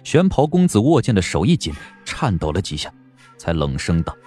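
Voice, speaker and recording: A man narrating a period audio drama in a measured, literary storytelling style. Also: on the low side, wildly swooping pitch, warm tone, husky, faint music behind.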